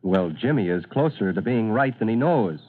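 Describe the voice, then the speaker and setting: A man's voice: lowish voice, lively intonation, moderate pace. A man narrating a scripted serial in an old-time radio announcing style. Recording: narrow-band old radio recording.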